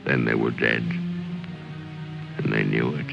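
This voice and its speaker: Brooding military general, very low voice, slow theatrical musing, dull old film recording. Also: male voice, steady tone, gravelly, full character voice. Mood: somber. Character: brooding world-weary military general, philosophical and morbid. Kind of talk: old film dramatic monologue. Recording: dull old film soundtrack, loud music.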